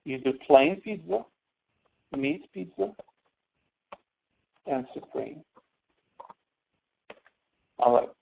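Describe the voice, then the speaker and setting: A man teaching a spreadsheet lesson online, explaining informally and a little haltingly. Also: mid-range voice, lively intonation, gravelly. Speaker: instructor. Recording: tinny phone-like audio.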